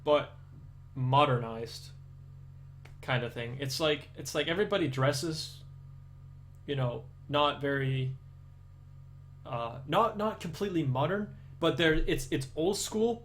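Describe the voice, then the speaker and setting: A man's voice: on the high side, unhurried, a little hiss or hum. Rambling Danish streamer man. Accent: Danish, aiming for American English. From Denmark. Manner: rambling, many restarts and fillers. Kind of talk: casual chat with live viewers.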